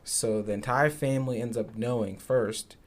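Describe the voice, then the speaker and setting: A man's voice: mid-range voice, fairly even intonation, normal speed. A man from Zimbabwe who is a podcast guest, telling stories in a casual, earnest way with many asides. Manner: earnest storyteller, many "you know" asides. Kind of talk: casual conversation.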